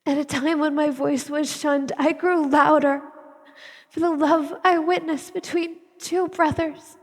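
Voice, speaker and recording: A solemn widow, played by a woman on stage speaks with slow, deliberate weight. She is grieving yet determined, declaiming her monologue into the long reverb of a hall. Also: sits high, fairly even intonation. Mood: resolute. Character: grieving determined widow, sister-in-law preserving a painter's legacy. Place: theatre stage.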